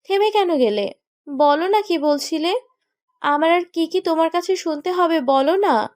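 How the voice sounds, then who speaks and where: A woman acts as a petulant young bride in a romantic audio drama. Her voice is high and girlish in pitch. She needles and reproaches, stubborn and bossy with her husband. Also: measured pace, a little mellow. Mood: hurt, sulky. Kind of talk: romantic audio story acting.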